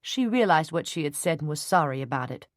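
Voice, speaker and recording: A woman's voice: sits low, expressive, brisk, dry recording, studio quality. A woman reading as a mild, uneasy older father. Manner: mild and placating.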